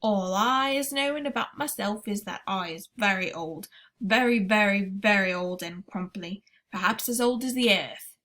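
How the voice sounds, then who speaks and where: Woman putting on a big kindly old giant, slow and booming, jumbled grammar, storybook voice. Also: fairly bright tone, natural voice. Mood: musing. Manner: slow, booming, mangled words.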